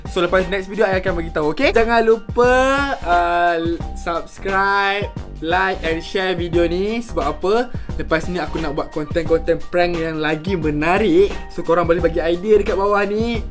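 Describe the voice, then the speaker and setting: A Malaysian man in his twenties hosts a prank video. He chats casually and playfully, dropping English into his slang, with clear music playing behind him. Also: high-pitched, normal speed. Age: 20s. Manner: animated, jokey, slang mixed with English. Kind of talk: casual chat to camera and on calls. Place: small bedroom, indoors.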